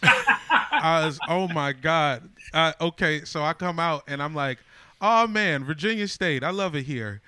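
A comedian in his thirties telling animated, slangy stories in a casual chat. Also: a little high, measured pace, clear, resonant tone, no room sound. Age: 30s.

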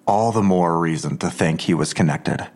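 An actor playing a menacing, suspicious police detective in an audio drama, pressing with pointed, accusatory questions. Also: male voice, low voice, normal speed, a little mellow.

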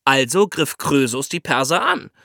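A man's voice: medium pitch, big pitch swings, normal speed, vocal fry, sharp tone, no room sound, studio quality. A man co-hosting a history podcast, explaining things in a colloquial, storytelling way.

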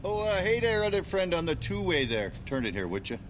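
An actor playing an affable, over-polite Canadian husband with a Canadian accent in a comedy audio drama. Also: male voice, high voice, big pitch swings, fairly quick, a little hiss or hum. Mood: chummy. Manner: folksy, chummy, over-polite. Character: affable folksy Canadian husband, chummy and hockey-mad.

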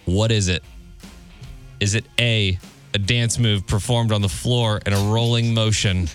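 A man's voice: lowish voice, steady tone, unhurried. Male radio host running a quiz over music. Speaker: radio show host, quizmaster. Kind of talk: upbeat radio quiz banter, reading trivia questions. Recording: music bed behind the voice. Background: background music.

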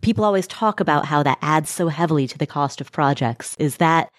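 An interviewer from Nepal, asking long, measured questions. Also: mid-range voice, no echo, studio quality. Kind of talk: conversational interview.

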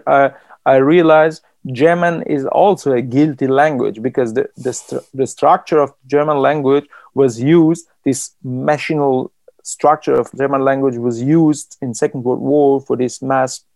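A man from Iran, a writer, speaking in an interview in a thoughtful, halting way with many restarts and fillers. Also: medium pitch, vocal fry, darkish tone, no echo. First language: Persian.

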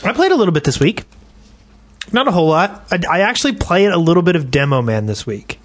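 A man's voice: sits high, big pitch swings, quick pace, no echo. A man co-hosting a gaming podcast, talking in a casual, conversational way. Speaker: podcast co-host.

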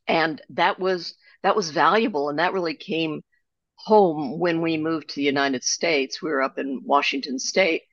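An older woman, a guest author, reflecting on her life in a relaxed storytelling way with frequent restarts. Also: low voice, unhurried, no room sound, highs cut off. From Germany. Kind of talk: conversational interview.

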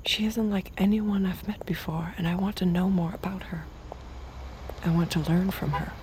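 An actress playing an enigmatic, detached young escort in an audio drama, soft and measured, with a naive, dangerous edge. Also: female voice, lowish voice, fairly even intonation, background noise, lightly acted. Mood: pensive, intimate. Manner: soft, measured, sparse short replies. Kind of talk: first-person audio drama narration and dialogue.

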